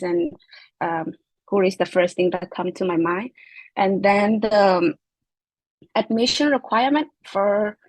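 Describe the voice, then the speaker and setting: A woman's voice: medium pitch, no echo, low-quality recording. A young woman from Myanmar, a Chin speaker with a Burmese accent, answering interview questions hesitantly with many fillers. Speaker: international graduate student.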